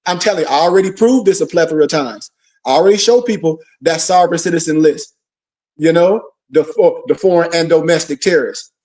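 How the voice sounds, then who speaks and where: A man's voice: on the high side, fairly quick, darkish tone, recorded from a distance. A man giving an informal religious lecture, preachy, full of rhetorical questions and call-and-response. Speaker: religious teacher.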